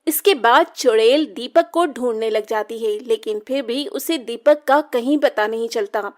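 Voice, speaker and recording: A woman's voice: a little high, a little slow. A woman storyteller reading scripted narration for a children's story.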